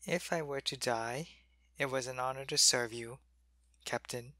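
A man playing a sick, weak male alien soldier in an ASMR roleplay. He speaks softly into a close mic and often drops to a whisper. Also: mid-range voice, measured pace, noisy background, close to an everyday voice. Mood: weary, gentle. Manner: soft-spoken, drifting into whispers.

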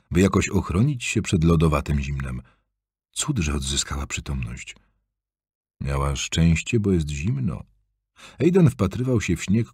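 Deep husky male audiobook narrator. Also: no echo, clean studio sound. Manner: expressive, varied storytelling delivery.

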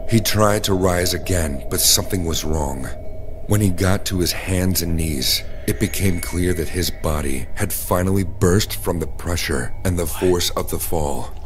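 A very low-pitched man narrates a scripted horror story in the first person. Prominent music plays behind his voice throughout. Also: measured pace. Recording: music bed under the voice. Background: dramatic background music.

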